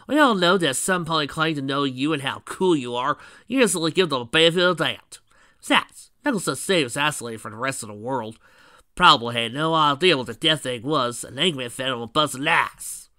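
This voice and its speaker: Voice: medium pitch, expressive, a little fast, pushed and bright, no room sound. A performer voicing a frank, no-nonsense country female pony in a blunt, scoffing, folksy manner.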